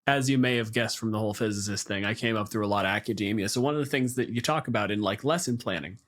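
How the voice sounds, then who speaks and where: A man's voice: fairly low pitch, fast talker, fairly bright tone. A man casually discussing tabletop games, explaining in a wry, sweary way.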